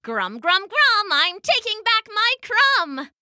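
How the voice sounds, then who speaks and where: A friendly storybook mother otter , acted out by a woman, chirpy and musical. The voice is very high and bright, gushing in a singsong way. It is recorded close and dry. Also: very animated, normal speed, no room sound, heavily acted. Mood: chirpy, hopeful. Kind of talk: children's storybook character voice.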